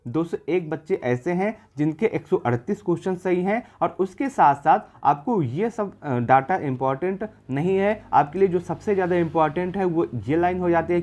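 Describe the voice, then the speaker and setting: A man's voice: fairly high pitch, darkish tone. A man presenting an exam-prep video, explaining figures informally in an assured, repetitive way. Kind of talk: informal explanatory data commentary.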